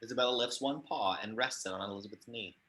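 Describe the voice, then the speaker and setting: A man's voice: mid-range voice, fast, sharp tone. Man narrating screenplay stage directions. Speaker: narrator of action descriptions. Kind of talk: scripted screenplay narration, table read.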